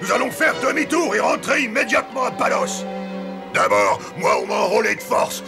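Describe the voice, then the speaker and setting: A rough, surly sailor for a cartoon. The voice is strained very high and heavily creaky. He grumbles and confronts loudly, over background music. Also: wildly swooping pitch, normal speed, heavily acted. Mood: angry, defiant. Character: rough, mutinous male sailor, surly and aggrieved. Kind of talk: animated historical series dubbing.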